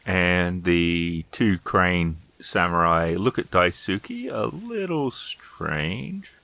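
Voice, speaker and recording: A man narrating a tabletop role-playing game as game master, with a measured, deliberate delivery. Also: low voice, animated, gravelly, bright, forceful tone.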